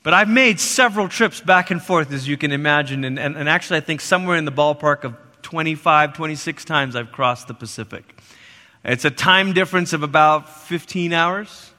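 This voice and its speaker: Animated preacher in a reverberant church. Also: male voice, on the high side, brisk. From Malaysia. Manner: animated, swings between loud emphasis and soft hushed lines. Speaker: pastor. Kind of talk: sermon. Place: church sanctuary. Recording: long reverb of a church hall.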